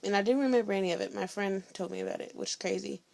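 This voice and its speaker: Sassy teenage girl with vocal fry. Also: mid-range voice, rapid-fire, no room sound. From Indiana, USA. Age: teens. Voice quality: loud voice. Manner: blunt, sassy, chatty with slang. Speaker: vlogger. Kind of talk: casual storytelling vlog.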